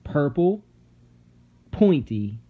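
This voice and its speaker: A man reading a children's story aloud dramatically, with suspenseful, theatrical delivery and deliberate pauses. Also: fairly high pitch, sing-song, phone-quality sound, heavy background noise. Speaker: storybook narrator. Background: music.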